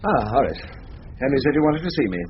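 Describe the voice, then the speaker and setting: An actor playing a stuffy middle-aged upper-class English lawyer in a radio drama, with a prim, rueful, self-important manner. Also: male voice, medium pitch, sing-song, fast, muffled recording, slight background noise, lightly acted. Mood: stiff.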